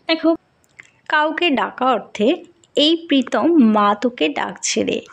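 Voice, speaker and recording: A woman's voice: high voice, vocal fry. A woman teacher giving a school lesson, reading out questions and answers and addressing pupils directly in an instructional way.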